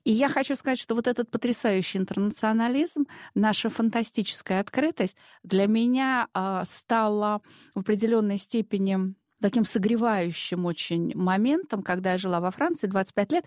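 A woman's voice: mid-range voice, moderate pace, a little mellow, no echo. A Russian woman speaking as a guest in a studio discussion, in a discursive, hesitant way full of fillers.

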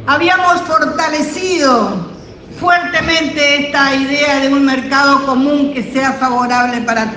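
A woman with an Argentine accent giving a measured political speech at a conference, repeating phrases for emphasis. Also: high-pitched, bright, forceful tone. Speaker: parliamentarian speaking at a conference. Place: large conference hall. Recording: roomy echoing hall, faint noise.

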